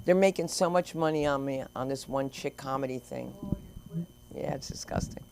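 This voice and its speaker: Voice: medium pitch, normal speed, vocal fry, noisy background. An open mic host chatting casually and playfully with the comics between sets. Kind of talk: casual chatty hosting banter. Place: small comedy open mic.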